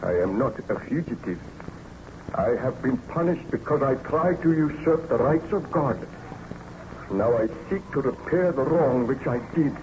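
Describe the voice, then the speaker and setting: A man's voice: medium pitch, lively intonation, unhurried, lightly acted. A man playing a tormented, guilt-ridden scientist nobleman in formal, theatrical old-time radio drama style. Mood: urgent, haunted. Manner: grave, melodramatic, formal theatrical diction. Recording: dull, noisy old radio recording.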